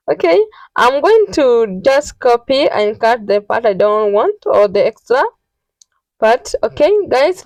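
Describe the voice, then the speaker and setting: A woman narrating an informal step-by-step tutorial in a halting, repetitive, instructional way. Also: a little high, darkish tone, no echo, low-quality recording. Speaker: tutorial host.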